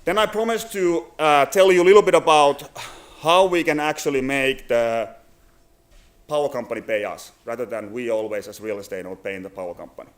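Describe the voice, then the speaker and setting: A man from Finland in his thirties with a Finnish accent, giving a business presentation at a conference, halting with many fillers and restarts. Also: medium pitch. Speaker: company presenter. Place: conference venue.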